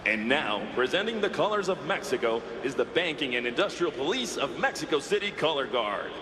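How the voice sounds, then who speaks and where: A man's voice: a little high, sing-song, brisk. A man making formal, emphatic public-address announcements as the announcer of an event ceremony. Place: large outdoor racetrack over loudspeakers. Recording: echoey stadium PA, loud crowd noise.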